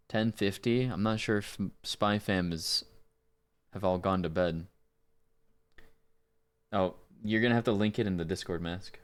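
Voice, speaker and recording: Low-voiced man chatting on a livestream. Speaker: livestream host doing voice acting. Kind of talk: casual livestream chat.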